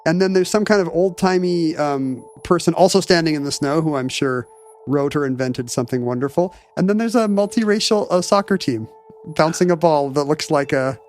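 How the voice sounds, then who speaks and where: A man's voice: fairly high pitch, a little fast, darkish tone, faint music behind. A middle-aged man co-hosting a podcast, joking around in dry, snarky banter. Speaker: podcast co-host. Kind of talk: casual chat.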